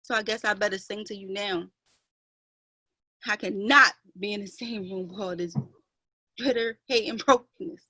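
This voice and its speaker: A woman's voice: medium pitch, steady tone, normal speed, pauses often, bright, forceful tone, dry recording. A woman playing a grieving, defiant younger sister, speaking with emotional indignation in an online dramatic play reading. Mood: grieving, hurt. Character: Joy, grieving, defiant younger half-sister standing her ground.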